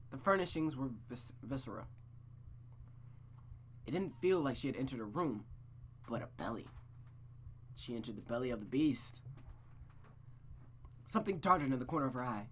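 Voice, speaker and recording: A man's voice: mid-range voice, slight background noise. A man reading a visual novel aloud in a suspenseful storytelling style, with playful, casual asides.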